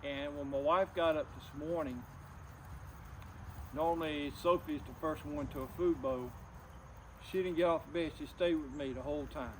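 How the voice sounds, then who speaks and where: A middle-aged man talking personally to camera, subdued and grieving, in a halting, plain-spoken, folksy way. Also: a little high, fairly even intonation, slight background noise, poor audio. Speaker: outdoors vlogger.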